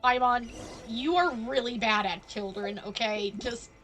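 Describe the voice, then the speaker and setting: A woman streams a game in a high voice at a leisurely pace. She reacts with playful sarcasm in casual commentary, faint music playing behind her. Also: a little slow, fairly bright tone.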